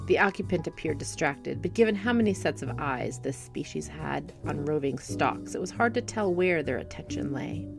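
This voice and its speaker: A woman's voice: low-pitched, animated, moderate pace, creaky, hardly any pauses, music in the background. A woman reading a short story aloud as scripted narration. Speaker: author reading their own short story.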